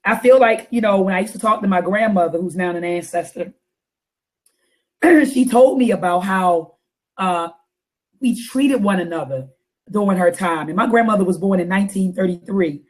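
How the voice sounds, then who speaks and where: A woman hosting a casual talk show, her deep voice raspy and hoarse after coughing, repeating phrases for emphasis. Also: normal speed, darkish tone, low-quality recording. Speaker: host.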